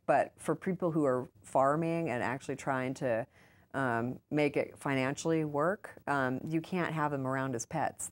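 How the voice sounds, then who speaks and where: A woman answering as an interview guest in an informal, conversational way, telling anecdotes and voicing little quotes as she goes. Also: sits low. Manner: informal, acts out quoted remarks. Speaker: interview guest, author and urban farmer.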